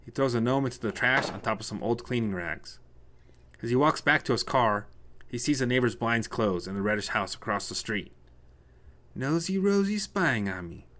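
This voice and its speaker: A man's voice: medium pitch, a little fast, bright, forceful tone. A man narrating a scripted short story as a storyteller.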